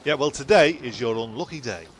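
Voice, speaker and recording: A man playing a stubborn, blustering castaway in an amateur comedy audio drama, repeating himself haltingly and swearing. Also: medium pitch, animated, a little hiss or hum, natural voice. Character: blustering, stubborn would-be hijacker castaway called John.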